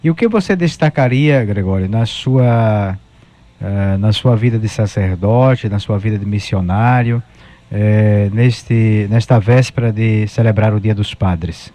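A man's voice: lowish voice, measured pace, warm tone. A man with a Brazilian accent, a priest hosting a radio interview, guiding the guest with friendly prompts and tag questions. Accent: Brazilian Portuguese.

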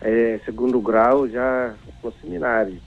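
A man. He talks slowly and haltingly, his voice gravelly with fry. He comes through a narrow, tinny phone line. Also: medium pitch, steady tone. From Timor-Leste. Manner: halting, searching for words, chuckling. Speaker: priest and phone-in guest. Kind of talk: phone interview.

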